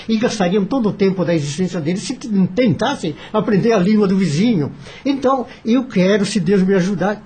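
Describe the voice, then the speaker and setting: A man with a Brazilian accent and a husky voice, speaking earnestly and formally as he reflects in a discussion. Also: high-pitched, warm tone. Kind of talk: reflective discussion recounting a story.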